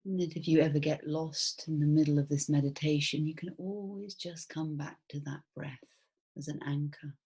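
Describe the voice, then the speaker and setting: A woman guiding a body scan meditation as a mindfulness teacher, speaking softly and soothingly in a breathy voice with long pauses. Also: low-pitched, steady tone.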